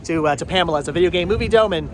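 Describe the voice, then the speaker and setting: A man's voice: a little high, very fast, background noise. A man hosting a casual vlog, chatting in a rambling way and repeating words for emphasis. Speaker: vlogger host. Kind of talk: casual vlog chat to camera and friends.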